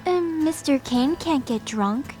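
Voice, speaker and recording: A coy, breezy young sorceress voiced by a woman for an audio drama. Her voice is very high and she takes her time over words. Music sits faintly behind her. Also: expressive, slow, a little mellow. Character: playful, stubborn young woman with magic powers.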